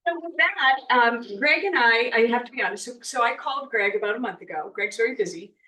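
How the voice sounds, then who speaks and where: A middle-aged woman with a loud voice opens a live interview, joking about herself and laughing easily. She speaks into a handheld mic in an echoey event room. The mic catches her from some distance. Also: fairly high pitch, a little fast. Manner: lively and self-deprecating, laughs often. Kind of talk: onstage introduction and interview. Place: event room at a book club.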